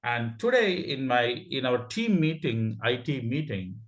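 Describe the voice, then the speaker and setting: A man with an Indian accent speaks eagerly on a video call, laughing often and stumbling into restarts as he talks. His voice sits at an average pitch and carries a noticeably roomy echo. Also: very animated, a little slow. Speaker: club member sharing a story. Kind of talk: casual meeting talk. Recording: echoey room.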